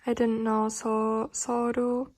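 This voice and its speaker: A woman with a German accent, German being her native tongue, talks through her study routine in casual English. Her voice sits a little high and stays fairly level. She pauses often, filling gaps with hesitations and restarting her sentences. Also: monotone, a little mellow. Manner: hesitant, many fillers and restarts. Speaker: language learner vlogger. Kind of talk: casual tutorial explanation.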